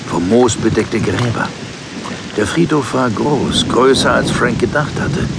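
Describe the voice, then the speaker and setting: Low-voiced man narrating over a loud storm. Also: background noise. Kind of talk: dramatic scripted horror narration. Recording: loud rain and thunder behind. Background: rainstorm with thunder.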